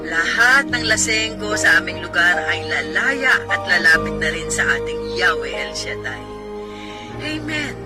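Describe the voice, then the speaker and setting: A woman's voice: fairly low pitch, big pitch swings, a little slow. An actress playing a devout, caring neighbour woman, earnest and reassuring, in a radio drama. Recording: produced radio drama with music.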